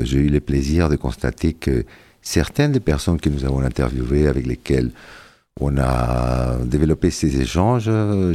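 A man's voice: extremely low pitch, warm tone, no room sound. A man talking as a guest in a casual radio interview, hesitant and searching for words. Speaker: radio guest, project member. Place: radio studio.

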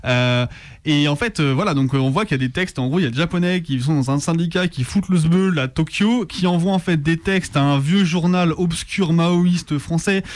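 A man's voice: a little high, a little fast. A young man chatting casually as a radio co-host, enthusiastic, slangy and rambling. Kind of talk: casual unscripted radio commentary. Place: radio studio. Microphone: studio microphone.

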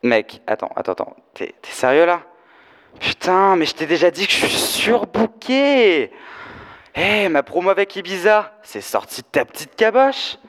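A flamboyant, fashion-mad gossip in a comic audio drama. His high voice stretches slangy exclamations theatrically. It echoes around a large hall. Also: sing-song, a bit bright. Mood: irritated, dismissive. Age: teenager or young adult. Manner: camp, theatrical, drawn-out exclamations. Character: gossipy, flamboyant, fashion-obsessed DJ best friend. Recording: echoey hall, live stage performance.

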